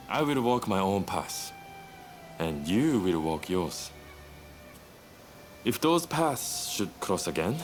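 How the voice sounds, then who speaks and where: A man playing a roguish, laid-back young revolutionary in a video game cutscene, with a teasing, nonchalant delivery. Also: low-pitched.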